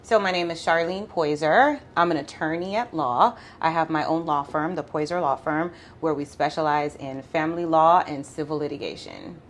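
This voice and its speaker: A woman with a Jamaican accent, an attorney explaining law in a casual interview, talking quickly and laughing often. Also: low-pitched, a little hiss or hum. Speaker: family law attorney, podcast guest.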